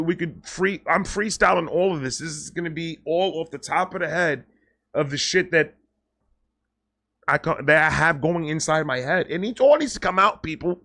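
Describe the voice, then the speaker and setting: A man talking casually and off the cuff to his viewers, excitable and rambling, often repeating and stammering over words, with slangy, sweary phrasing. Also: fairly high pitch. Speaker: online video creator and host. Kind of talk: casual unscripted vlog talk to viewers.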